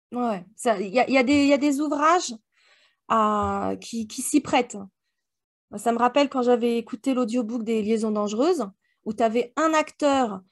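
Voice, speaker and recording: A woman chatting casually in colloquial, slangy language, like a guest on a review podcast. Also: a little high. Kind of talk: casual chat.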